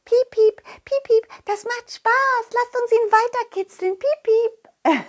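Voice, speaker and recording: A woman voicing tiny, excitable, playful mice with a thin, squeaky voice that peeps between phrases in a children's audio play. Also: takes it slowly. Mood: gleeful, playful. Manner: peppers talk with peeping noises.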